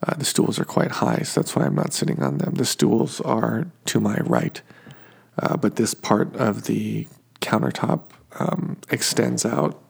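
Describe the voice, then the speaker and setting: A man with allergies, sounding sniffly, who hosts a sleep podcast and describes his surroundings in a slow, meandering, halting way full of fillers. He is recording at home in his kitchen. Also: on the low side, big pitch swings, gravelly, husky.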